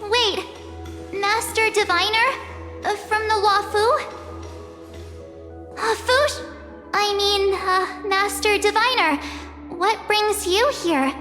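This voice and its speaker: A woman's voice: extremely high pitch, takes it slowly, pauses often, bright, forceful tone, faint music behind, exaggerated voice. An actress voicing a flustered, perky young girl in a video game, chatty and hesitant, often questioning herself. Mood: surprised, curious. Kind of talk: scripted game dialogue. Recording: long reverberant hall sound.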